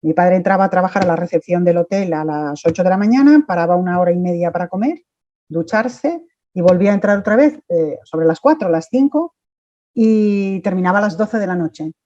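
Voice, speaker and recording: Chatty low-voiced woman, dull dry sound. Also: lively intonation, fairly quick, mellow, no room sound. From Mexico. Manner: chatty, talks in long run-on stretches. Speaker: interview guest. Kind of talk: casual interview.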